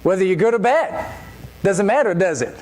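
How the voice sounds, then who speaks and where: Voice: mid-range voice, sing-song, normal speed, darkish tone. A preacher giving a church sermon in a conversational way, often asking the listeners rhetorical questions.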